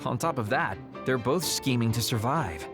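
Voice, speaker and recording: A man's voice: mid-range voice, animated, moderate pace, over music, natural voice. A man voicing a cynical, guarded young man in an English video game dub, speaking in a wry, blunt way.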